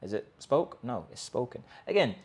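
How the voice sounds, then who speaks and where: A man hosting a language-learning channel, speaking straight to his viewers in an emphatic pitch full of rhetorical questions and quick restarts. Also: very animated, darkish tone, slightly rough. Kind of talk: direct-to-camera pitch talk. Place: in Colombia.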